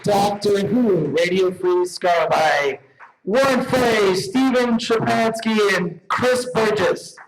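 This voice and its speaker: A man's voice: high-pitched, slow pace. A man presenting awards live on stage in a jokey, profane, emphatic comedic manner. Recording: noisy live event, clicks.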